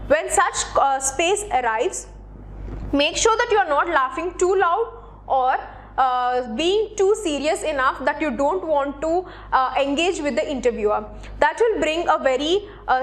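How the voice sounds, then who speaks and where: High-pitched woman giving instructional advice. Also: expressive, a little slow. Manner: didactic, addressing the listener directly. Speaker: presenter of interview tips for job seekers. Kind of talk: instructional advice talk, semi-scripted.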